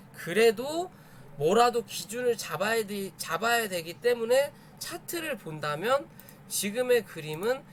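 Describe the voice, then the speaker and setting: A man's voice: sits high, fairly bright tone, a little hiss or hum. A man explaining charts to viewers in a conversational, emphatic live commentary, often repeating his points. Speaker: stock chart analysis streamer.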